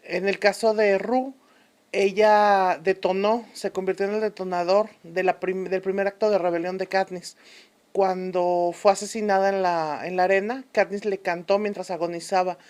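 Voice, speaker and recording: Woman acting as female historian, radio drama interview, medium pitch, measured, deliberate, authoritative, unsentimental. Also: fairly even intonation, a little mellow, lightly acted.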